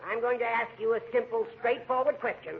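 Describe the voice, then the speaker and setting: An actor with an American accent playing a crotchety, imperious judge in a 1940s radio comedy. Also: male voice, very high-pitched, steady tone, brisk. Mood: stern. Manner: imperious, scolding, sarcastic. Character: crotchety, easily offended male judge ruling his courtroom. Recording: muffled, noisy 1940s radio recording.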